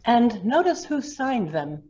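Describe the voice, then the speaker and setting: A woman's voice: a little high, big pitch swings, slow, warm tone, highs cut off. A woman playing a ruthless, commanding lawyer in an old-time radio drama, hard and threatening in manner. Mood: coolly menacing. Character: ruthless, commanding female lawyer blackmailing a judge.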